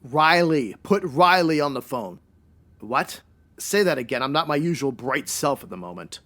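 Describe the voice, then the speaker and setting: A man's voice: fairly high pitch, lively intonation, normal speed, pushed and bright. A man playing a brusque, slangy, wisecracking private detective in a noir comedy radio drama. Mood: urgent, brusque.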